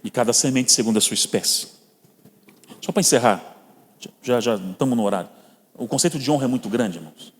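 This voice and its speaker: A man with a Brazilian Portuguese accent preaching to a congregation, mixing scripture with personal stories. He speaks at a middle pitch and addresses the listeners directly as brothers. His voice rings with the long reverb of a church hall. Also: fairly quick, long pauses. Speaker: guest preacher.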